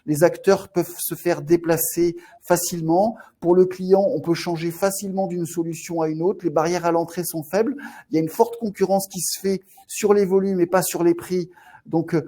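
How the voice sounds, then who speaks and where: A man with a faint Marseille accent talks through investment risks on a webinar. His voice sits a little high but sounds soft and mellow. He stumbles often, repeating little words before he finds the next thought. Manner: halting, many restarts and repeated words. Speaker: fund manager. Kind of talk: webinar market commentary.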